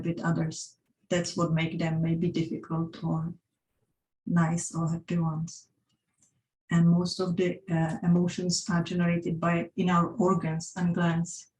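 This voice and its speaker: Czech-accented female presenter, halting, monotone delivery, faint room hum behind. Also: fairly low pitch, reverberant, rough recording. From Czech Republic. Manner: hesitant, frequent restarts and fillers. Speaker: workshop presenter. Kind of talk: presenting a talk with slides.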